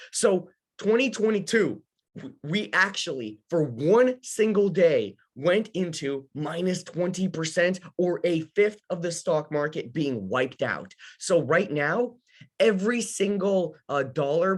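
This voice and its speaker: A young man from Ukraine teaching a casual live lesson for beginner investors, talking straight to his audience. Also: a little high. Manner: casual, talks to the audience, many fillers and restarts. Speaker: host of an investing workshop.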